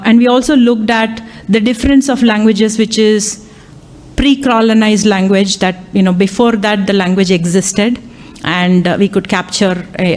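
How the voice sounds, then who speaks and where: A woman's voice: mid-range voice, a little mellow. Woman speaking at a live conference. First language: Tamil. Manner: hesitant, many fillers and restarts. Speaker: AI lecturer and workshop program chair. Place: conference hall.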